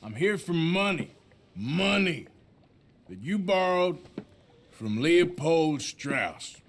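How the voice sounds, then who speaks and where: An actor playing a gruff, impatient American outlaw debt collector in a western video game, menacing and sardonic. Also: male voice, on the high side, animated, takes it slowly. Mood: threatening. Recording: loud music behind the dialogue.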